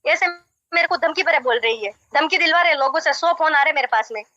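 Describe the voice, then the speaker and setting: A woman's voice: high-pitched, brisk, pushed and bright, no room sound. A young woman arguing insistently and repeating herself on a recorded phone call. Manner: insistent, repetitive, argumentative. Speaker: caller in a marriage dispute. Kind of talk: heated phone conversation.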